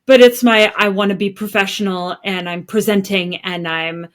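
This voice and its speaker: A woman co-hosting a podcast from the United States, chatting in a casual, animated way. She talks in quick asides and runs of thought, at an average pitch for a woman. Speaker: podcast co-host. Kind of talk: casual chat.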